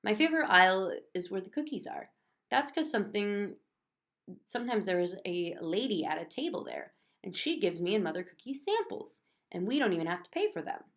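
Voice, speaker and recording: A woman's voice: mid-range voice, big pitch swings, brisk. Woman reading a children's storybook aloud. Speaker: reader of a children's book. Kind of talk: expressive storybook read-aloud in a child's first person.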